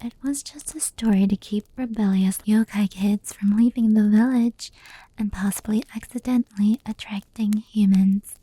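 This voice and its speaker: Whispered ASMR, woman acting as gentle female fox demon, very mellow, breathy, unhurried, intimate storytelling. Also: mid-range voice, close to an everyday voice, rough recording. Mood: hushed storytelling. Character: gentle female fox-demon yokai telling old legends.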